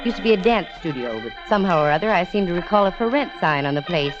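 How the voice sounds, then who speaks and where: A woman's voice: on the low side, animated, fast, over loud music, lightly acted. An American actress playing a breezy, wisecracking, bossy but fond young female detective in vintage radio drama style. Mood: narrating, assured. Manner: wry, teasing, confident. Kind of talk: 1940s-50s radio detective drama acting and narration. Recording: dull, lo-fi old radio recording.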